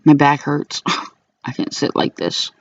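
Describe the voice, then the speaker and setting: A man speaking informally as an aggrieved caller, in a halting, repetitive way, recording complaints about calls. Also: a little high, animated, no room sound, recorded from a distance, lo-fi sound. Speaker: caller recording own phone calls to a police dispatcher. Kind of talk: informal complaint to camera and on phone.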